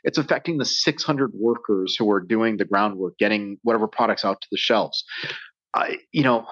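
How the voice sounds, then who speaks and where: Emphatic, low-voiced American man on a dull line. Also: moderate pace, a bit bright, dry recording. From United States. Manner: passionate, emphatic storyteller. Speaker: guest, survival instructor. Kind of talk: casual interview talk. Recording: dull lo-fi sound.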